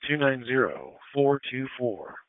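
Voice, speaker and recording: A man's voice: mid-range voice, very animated, unhurried, no room sound. A man working as a police radio dispatcher, speaking in radio dispatch chatter over a two-way radio. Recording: narrow-band police scanner radio, dry.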